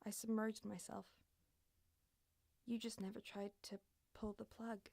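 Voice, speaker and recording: A woman's voice: medium pitch, little pitch movement, unhurried, vocal fry, a little mellow. A woman reading aloud in a spoken-word poetry recital. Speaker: poetry reader.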